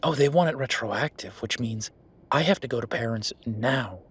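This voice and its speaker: A man's voice: medium pitch, animated, normal speed. A man playing a frustrated, world-weary werewolf official talking intimately with his partner in an audio roleplay, in a conversational, sighing way. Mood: frustrated, resigned. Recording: clear background noise.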